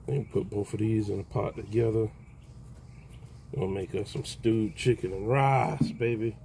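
An older man giving a relaxed, folksy how-to narration outdoors in a backyard while he works with his hands. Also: lowish voice, unhurried, gravelly, slight background noise. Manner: folksy, relaxed, talks while plucking, calls out "baby". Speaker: backyard homesteader. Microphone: phone camera held close, hands-on filming.

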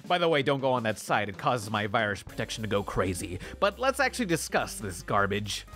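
A man's voice: lowish voice, big pitch swings, brisk. A man hosting a review show, reading a scripted, sarcastic and mocking commentary. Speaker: host of a cartoon review show. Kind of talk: scripted review narration with sponsor read. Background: music bed.

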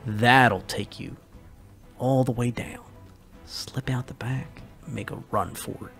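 A man voicing a weary male friend in a storybook reading, halting and low-key, close to his own voice. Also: fairly even intonation, gravelly, music in the background. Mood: urgent.

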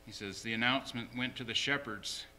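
Bright-voiced male pastor preaching. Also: medium pitch, brisk, a little hiss or hum. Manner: emphatic, repeats short phrases. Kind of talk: sermon.

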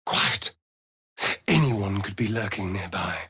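A man's voice: fairly low pitch, slow, pushed and bright, breathy edge, dry recording, studio quality, full character voice. A man playing a vain, sardonic vampire rogue in a fantasy video game, with an arch, flamboyant drawl. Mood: wry.

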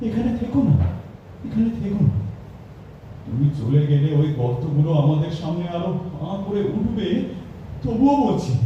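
A man acting a learned, worried, philosophical professor in a theatrical Bengali stage audio drama. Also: natural voice. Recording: echoey hall, distant dull mic, rumble.

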